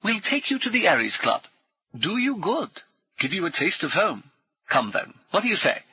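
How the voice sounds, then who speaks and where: A man's voice: sits high, big pitch swings, normal speed, bright, forceful tone, husky, no echo, voice pushed up, exaggerated voice. A man voicing a jovial, bossy former cavalry officer who speaks in swift, clipped bursts, recorded dry and a bit dull. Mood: hearty.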